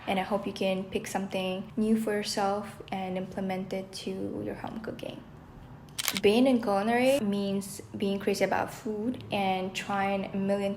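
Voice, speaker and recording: A young woman from Russia, a native Russian speaker, talks casually to her viewers, padding her sentences with "like" and "you know." Her pitch sits in a middling range and barely moves, giving an even, flat delivery. A faint hum of background noise sits under the voice. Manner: chatty, frequent "like" and "you know". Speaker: vlogger, former chef. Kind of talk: casual chat to camera.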